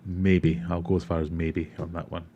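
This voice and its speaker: A man's voice: deep voice, flat delivery, moderate pace, mellow, lo-fi sound, a little hiss or hum. A Scottish man from Glasgow hosting an aquarium livestream, casually answering chat questions and rambling with self-deprecating asides. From Glasgow, Scotland.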